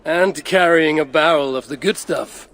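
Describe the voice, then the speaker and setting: An actor playing a commanding one-eyed god-warrior in a video game, curt, imperious and dryly mocking. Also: male voice, on the high side, unhurried, a bit bright, low-quality recording. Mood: dry banter. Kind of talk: video game cutscene acting.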